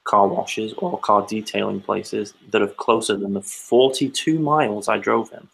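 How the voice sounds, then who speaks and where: Male co-host with a gravelly, somewhat low voice, dry and teasing, chatting casually. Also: steady tone, unhurried, a bit bright, poor audio. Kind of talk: casual chat over a call.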